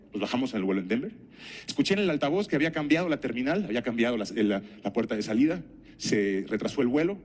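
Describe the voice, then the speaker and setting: Middle-aged Mexican man giving a talk. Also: medium pitch. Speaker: conference speaker and health professional. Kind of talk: conference talk with anecdotes and rhetorical questions.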